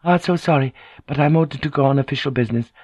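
A man's voice: mid-range voice, quick pace, darkish tone, breathy edge, dry recording, highs cut off, pitched above the performer's own voice, natural voice. A man voicing a polite, guarded noblewoman in audiobook dialogue, formal and controlled under her anxiety.

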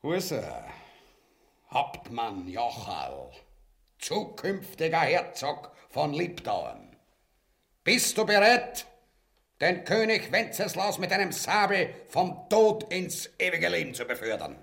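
Ein Schauspieler spielt in einer grotesken Hörspielfarce einen polternden, herrischen, vulgären Verschwörer mit gespielt feierlicher Art. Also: male voice, fairly high pitch, big pitch swings, takes it slowly, gravelly, sharp tone, full character voice. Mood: mock-solemn. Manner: mock-solemn, blustering, repetitive. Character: bumbling, bossy, vulgar male plotter and would-be usurper. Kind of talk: grotesque farce radio acting.

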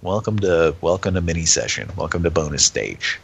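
A man hosting a live show in rambling, halting chat, stuffed up from a cold. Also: sits low, sing-song, gravelly, husky. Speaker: host.

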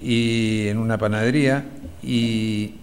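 A middle-aged man with an Argentine accent recalls events in a halting way, repeating phrases. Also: on the low side, fairly even intonation. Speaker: interviewee, relative of a disappeared woman. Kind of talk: spontaneous recollection. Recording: music bed under interview. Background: music.

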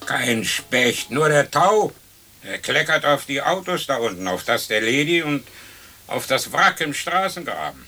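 A man's voice: mid-range voice, lively intonation, a little slow, bright, forceful tone, heavily acted. An actor playing a skinny, grumbling long-dead man, dry and querulous, in a radio play. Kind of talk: German radio play acting.

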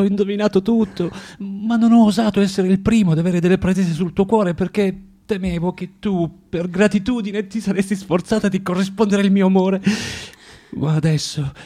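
A man's voice: high voice, mellow. An actor playing a bitter, tormented man in a radio drama, swinging between menacing mutters and dramatic outbursts. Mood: tender, feverish. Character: bitter, tormented man, cruel then self-loathing. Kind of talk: theatrical radio drama acting.

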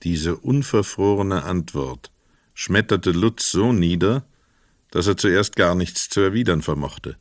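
A man narrating a literary radio play from a script in a measured, precise storytelling manner. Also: low voice, expressive, no room sound, studio quality.

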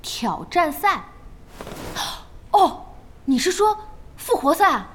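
An actress playing an impulsive, bossy young woman in charge in an audio drama, anxious and eager, with a blunt, expressive delivery. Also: very high-pitched, takes it slowly, a little hiss or hum, full character voice. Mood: worried. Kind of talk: Chinese audio drama acting.

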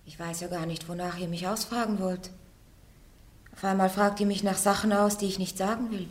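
A woman's voice: mid-range voice, steady tone, brisk, close to an everyday voice. An actress playing a defiant, plain-spoken peasant girl of nineteen on trial in a radio play, simple, forthright and stubborn. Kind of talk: German radio play acting.

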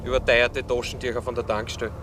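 An actor playing a compliant, practical accomplice of a hijacker, earnest and matter-of-fact, with an Austrian accent, in a radio drama. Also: male voice, medium pitch, little pitch movement, fast talker, close to an everyday voice. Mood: dutiful. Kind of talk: radio drama acting, conversational. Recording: noisy outdoor car-park ambience.

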